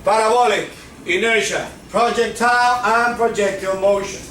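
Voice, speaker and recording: A man's voice: high-pitched, steady tone, moderate pace, fairly bright tone, a little hiss or hum. A man with a Cuban accent teaching a physics class, often checking in with his students. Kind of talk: classroom lecture. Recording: echoey classroom from far off.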